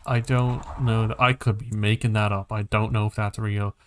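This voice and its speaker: A man's voice: fairly low pitch, level tone, moderate pace, a little hiss or hum. A man hosting a casual podcast about games and films, thinking aloud in a hesitant, rambling way. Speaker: podcast host.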